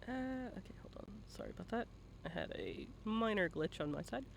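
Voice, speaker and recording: A man's voice: high-pitched, huge pitch swings, a little fast, gravelly, a little hiss or hum. A man hosting a casual live-stream interview, encouraging and bantering, with some swearing. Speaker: interviewer and host.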